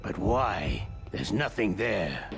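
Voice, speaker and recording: An actor playing a cool, pragmatic henchman to a villain in a video game cutscene, measured and matter-of-fact. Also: low voice, big pitch swings, vocal fry, over music, exaggerated voice. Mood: questioning. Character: cool, pragmatic Russian gunman, the boss's right-hand man.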